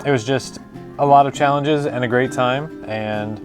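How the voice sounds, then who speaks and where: A man's voice: mid-range voice, normal speed, over music. A middle-aged man who coaches a school speech team, explaining at an easy pace with fillers. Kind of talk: interview answers.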